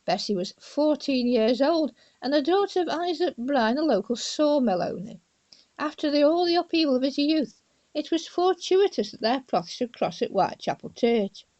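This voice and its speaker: A woman with a fairly high, very melodic voice narrates a life story from her own book. She reads deliberately and drifts into informal asides to the listener. The sound is slightly dull and lo-fi, like a homemade audiobook. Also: expressive, darkish tone. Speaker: author reading own biography aloud.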